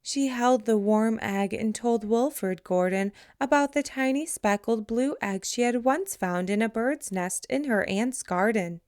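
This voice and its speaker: A woman reading a picture book aloud to children in a deliberate, expressive storytelling manner. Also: a little high.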